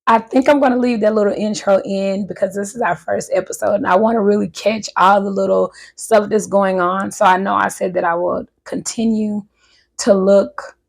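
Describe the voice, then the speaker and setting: A woman in her thirties chatting casually and a bit nervously to her viewers, her voice hoarse from recently losing it. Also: medium pitch, steady tone, normal speed. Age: 30s. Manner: chatty, nervous, talks straight to viewers as "y'all". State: voice recovering from loss, hoarse. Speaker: vlogger. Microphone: handheld wireless mic.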